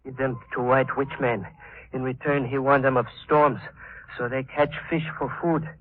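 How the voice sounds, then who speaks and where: A man's voice: medium pitch, flat delivery, moderate pace, lightly acted. An actor playing a wary young island man in terse broken English, in 1940s radio drama style. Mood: grave. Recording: tinny, hissy 1940s radio recording.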